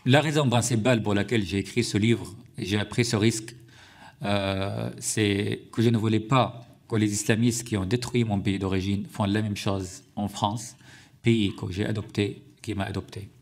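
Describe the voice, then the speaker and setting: Man, low gravelly voice, halting committee testimony. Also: fairly even intonation. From Syria. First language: Arabic. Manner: hesitant, many fillers and restarts. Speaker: witness at a parliamentary hearing. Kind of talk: formal testimony. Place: parliamentary committee room.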